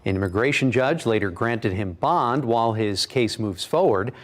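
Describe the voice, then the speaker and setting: A man reading a broadcast news report in a measured, formal way. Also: on the low side, expressive.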